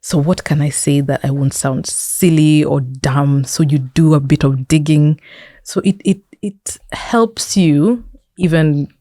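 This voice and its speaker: A woman with a Kenyan accent talks as a guest in a conversational interview. Her voice is low and relaxed, recorded very dry. She explains by example and repeats words for emphasis. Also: a little slow, dry recording. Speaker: interview guest, tech entrepreneur and founder.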